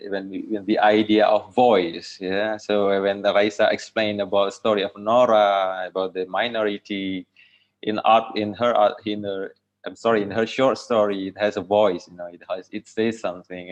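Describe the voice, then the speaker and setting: A man with an Indonesian accent hosting an online discussion, tentatively phrasing and rephrasing his questions over a dull video call line. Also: lowish voice. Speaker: host.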